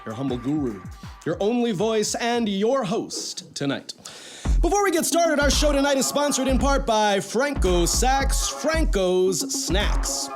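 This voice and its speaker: A man's voice: high voice, very animated, measured pace, music in the background. A man playing a grandiose, pushy conspiracy guru hosting a talk radio show in a radio play. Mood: grandiose, salesy. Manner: grandiose, self-important, pushy interrupting. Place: live stage performance before a small audience.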